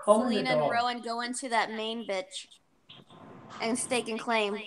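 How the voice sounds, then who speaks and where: A woman's voice: on the high side, fairly even intonation, measured pace, over a noisy crowd. A woman chatting casually in an online book club call. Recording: online call with voices behind.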